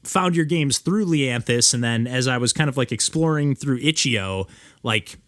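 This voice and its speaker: A man's voice: medium pitch, lively intonation, normal speed, fairly bright tone, no echo. A male podcast host talks over a remote call, his voice landing in the middle of the usual male range. The sound is dry with little room around it. He rambles loosely, stacking up filler words and restarting his sentences. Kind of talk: casual interview chat.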